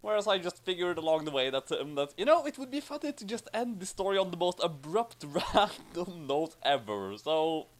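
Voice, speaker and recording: A man's voice: sits high, sing-song, normal speed, few pauses. A man giving casual commentary as the author of a story.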